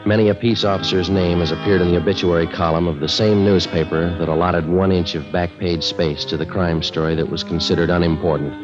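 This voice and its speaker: Man acting as terse police detective, very deep voice, deadpan, clipped, 1950s radio drama, muffled vintage sound. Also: brisk, hardly any pauses, lightly acted. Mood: deadpan, grave. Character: terse, no-nonsense Los Angeles police detective. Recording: dull vintage radio recording with music.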